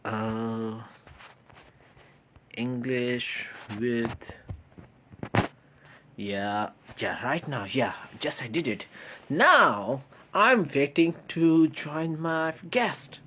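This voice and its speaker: A man's voice: mid-range voice, expressive, extremely slow, pauses often, pushed and bright, slight background noise. A man with a Pakistani accent hosting a casual livestream interview in an effusive, repetitive, wordy way. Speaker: live stream host.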